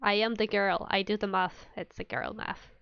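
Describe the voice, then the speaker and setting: A woman's voice: mid-range voice, fairly even intonation, normal speed, creaky, fairly bright tone, clear, resonant tone, no room sound, highs cut off. A woman livestreamer chatting casually with viewers in a playful, mock-indignant, giggly manner. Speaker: streamer, VTuber.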